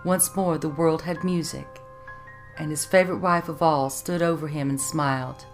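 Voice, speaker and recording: A woman reading a dark story as a dramatic scripted narration. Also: low-pitched, fairly even intonation, normal speed. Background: instrumental music.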